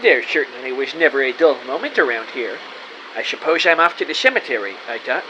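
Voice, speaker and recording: A man's voice: mid-range voice, expressive, brisk, dull audio, noisy background, lightly acted. A man playing an earnest, nervous rookie detective, narrating an audio drama in the first person. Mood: uneasy.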